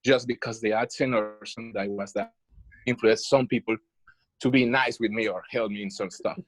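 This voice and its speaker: A man's voice: on the low side, steady tone, moderate pace, dry recording, poor audio. A Colombian man whose first language is Spanish, a student telling a personal story in an online class, hesitant with many fillers. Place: video call.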